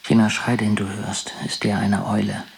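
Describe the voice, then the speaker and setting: An actor playing a gentle, weary dreamer who talks solemnly and with measured wonder in a poetic radio play. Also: male voice, fairly low pitch, completely flat delivery, heavily acted. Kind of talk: poetic radio drama dialogue. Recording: clearly hissy background.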